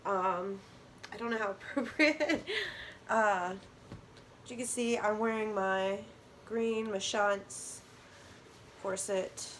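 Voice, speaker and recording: A woman's voice: medium pitch, slow pace, long pauses, a bit bright, a little hiss or hum. Woman with a strained, fading voice. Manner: rambling, many ums and restarts. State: losing her voice. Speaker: vlogger. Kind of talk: casual product talk to camera.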